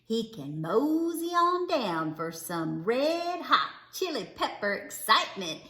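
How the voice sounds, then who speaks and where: Lady reading a kids story out loud, bright voice, takes it slow, really expressive, echoey room. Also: mid-range voice. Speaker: children's read-aloud storyteller. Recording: echoey, roomy sound.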